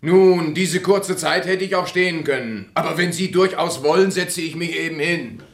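An actor playing a stiff, reluctant defendant with formal delivery, in an experimental radio play with an echoing sound. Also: male voice, a little high, normal speed, natural voice. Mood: reluctantly compliant.

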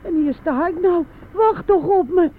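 A frail, anxious old lady in a radio play. Her voice is high and breathy, and she speaks very slowly, halting and pleading as she repeats her words. It comes from a muffled, narrow old recording. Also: slight background noise. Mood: panicked calling. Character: frail, timid, anxious elderly woman, pious mother-in-law.

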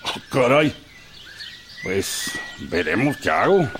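Animated, courteous Mexican political organizer. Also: male voice, medium pitch, slow pace. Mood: concerned, planning. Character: courteous male political organizer planning an uprising. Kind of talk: radio drama acting. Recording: faint noise with birdsong.

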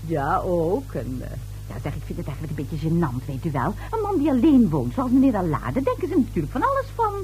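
A woman's voice: on the low side, big pitch swings, fairly quick, a little mellow, faint music behind, low-quality recording. An actress playing a tipsy, gossipy neighbour lady in a radio play, chatty, coy and giggly. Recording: old radio drama recording.

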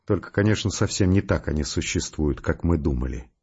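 A man's voice: extremely low pitch, animated, fairly quick, a little mellow, slightly rough, highs cut off, full character voice. An actor playing an agitated, sardonic male scientist who argues and broods in a Russian radio drama. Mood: sardonic, excited. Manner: conversational, sardonic, impatient. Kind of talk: Russian radio drama acting and first-person narration.

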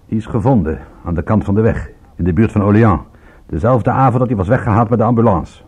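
An actor playing a sardonic, indignant private detective in a Dutch radio drama, with a theatrical delivery. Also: male voice, on the low side, fast, a little mellow, husky. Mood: dry, explanatory. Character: sardonic, indignant male private detective, proud of his work.

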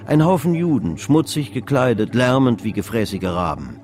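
An actor narrating a literary radio play as a measured, all-knowing storyteller of an old stock exchange tale. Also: male voice, medium pitch, lively intonation, darkish tone, natural voice. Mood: measured, vivid. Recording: music behind the voice. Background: music.

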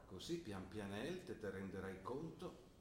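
A man's voice: fairly low pitch, big pitch swings, measured pace, distant mic, a little hiss or hum. An actor playing a skeptical older father, a plain-spoken shopkeeper questioning his daughter. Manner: earnest, probing, plain-spoken. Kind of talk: stage play acting. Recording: roomy stage recording, voice from afar.

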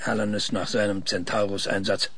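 An actor playing an opinionated, irritable scientist in a radio drama, with a husky rasp and an emphatic, halting, lecturing manner. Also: male voice, lowish voice, flat delivery, a bit bright. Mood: brooding, intent. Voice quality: husky, breathy rasp with creak. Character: opinionated, irritable male research scientist being interviewed. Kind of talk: naturalistic radio drama acting.

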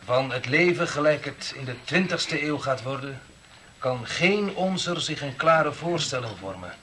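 Old pious family elder, forceful bright voice, declamatory, sentimental, faintly noisy vintage radio play. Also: male voice, medium pitch, expressive, unhurried. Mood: solemn, nostalgic. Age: older. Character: elderly eldest brother, solemn pious jubilee speechmaker. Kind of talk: 1960s radio drama, ceremonial speech.

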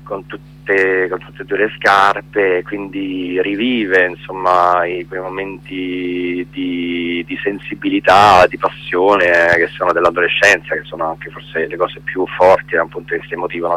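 Low, forceful-voiced man searching for words. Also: flat delivery, moderate pace, few pauses, a little hiss or hum, poor audio. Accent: Italian. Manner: hesitant, searching for words with many fillers. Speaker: actor and director interviewed by phone. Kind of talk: casual phone interview.

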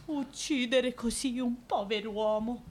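A woman's voice: on the high side, takes it slowly, a little mellow, slight background noise. A woman playing a garrulous, indignant, scolding female servant in a radio play. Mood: indignant, gossipy. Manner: garrulous, scolding, emphatic, talks over others. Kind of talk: Italian radio theatre acting.

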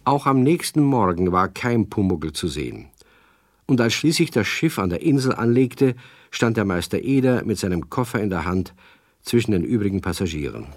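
A man narrating a children's radio play in a measured storytelling manner. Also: on the low side, sing-song, no room sound.

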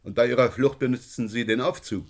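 A man plays a shrewd police inspector in a radio crime drama. His medium-pitched voice probes with wry, pointed questions and a sardonic edge. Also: a little fast, lightly acted. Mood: shrewd, needling.

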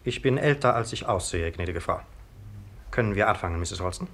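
An actor playing a young police detective sergeant, polite, formal and measured, in a 1950s radio play. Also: male voice, deep voice, lively intonation, fairly bright tone. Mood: polite, brisk. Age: young adult.

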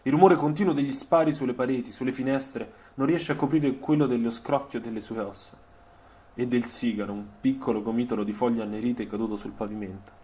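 A man narrating a scripted dramatic radio story, solemn and measured, with deliberate, literary phrasing. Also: mid-range voice, dark tone. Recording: music behind the voice. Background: music soundtrack.

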